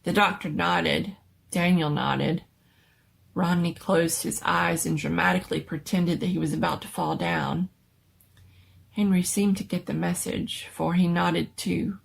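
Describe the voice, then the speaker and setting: Husky, low-voiced woman telling a story. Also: steady tone, a little slow. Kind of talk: dramatic reading of a scary story.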